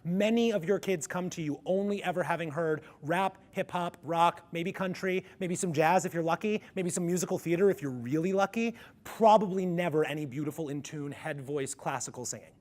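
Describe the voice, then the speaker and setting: A man presenting a music education workshop to a live audience, with a ringy, resonant voice and an energetic coaching manner. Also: fairly high pitch, a little fast, hardly any pauses. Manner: energetic, coaching the audience through vocal exercises. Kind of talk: conference workshop talk. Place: conference room with a live audience.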